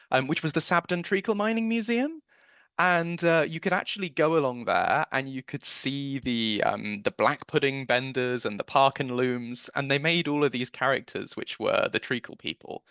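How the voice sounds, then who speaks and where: A man's voice: mid-range voice, fairly bright tone, no echo. Chatty Englishman, slightly dull close recording. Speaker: producer being interviewed. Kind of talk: conversational interview answers, recounting family memories.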